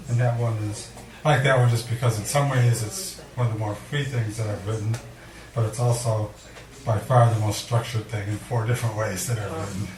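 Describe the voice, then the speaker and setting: A man giving a live poetry reading, speaking in a measured, deliberate way with dramatic pauses and casual introductions. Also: fairly low pitch, fairly even intonation, far from the mic, crowd noise. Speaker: poet reading at a poetry event. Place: indoor cafe venue before a live audience. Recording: echoey room recorded from afar, audience murmur.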